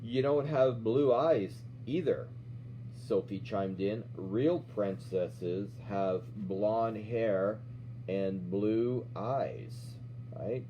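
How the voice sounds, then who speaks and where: A middle-aged man reading a children's storybook aloud as an instructor, pausing to explain and check in with questions. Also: fairly low pitch, dark tone. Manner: checks in with questions, often ending "right?". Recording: dull lo-fi sound with faint hum.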